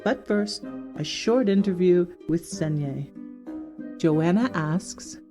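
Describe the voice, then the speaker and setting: A woman's voice: lowish voice, lively intonation, slow, dark tone. A woman presenting a podcast in a scripted introduction, reading to the listeners. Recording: music playing under the voice. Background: plucked guitar music.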